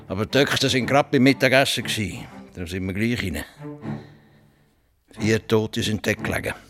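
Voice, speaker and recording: An actor playing a blunt, terse rural farmer with a Swiss German accent in a radio drama. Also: male voice, lowish voice, a bit bright, natural voice. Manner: terse, plain-spoken, unemotional. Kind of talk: naturalistic radio drama acting.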